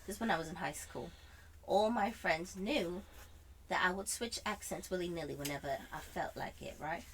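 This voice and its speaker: A woman telling an animated anecdote in casual chat, theatrically acting out dialogue with a put-on British accent. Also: on the low side, normal speed, a little hiss or hum, rough recording. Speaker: storyteller.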